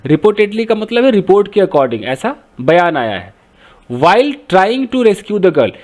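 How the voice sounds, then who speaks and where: A man's voice: high-pitched, a little mellow. A man teaching English grammar from a newspaper, patiently repeating points and asking his learners questions. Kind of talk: grammar lesson explaining a newspaper.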